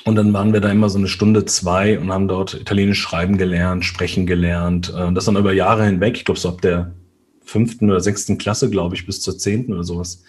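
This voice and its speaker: A man's voice: sits low, flat delivery, brisk, a little mellow. A man from Germany, a guest in a casual interview, talking hesitantly with many fillers and tag questions.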